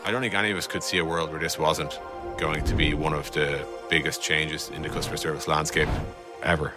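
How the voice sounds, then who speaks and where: A man's voice: a bass voice, fairly even intonation, fairly quick. A man talking casually and loosely in a candid conversation clip. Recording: music bed under voice.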